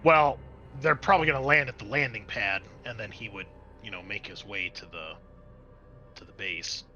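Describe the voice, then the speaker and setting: A man's voice: on the low side, moderate pace, pushed and bright, highs cut off. A man narrating as game master of a tabletop roleplaying game, explaining things in a conversational, improvised way.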